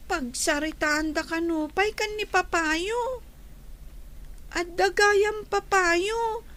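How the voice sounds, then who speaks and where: A very high, small voice as an innocent young child in a radio drama. The delivery is slow and plaintive, full of earnest questions, with an Ilocano accent. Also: female voice, a bit bright, exaggerated voice. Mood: pleading, wondering. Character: curious young child missing extended family, earnest and innocent.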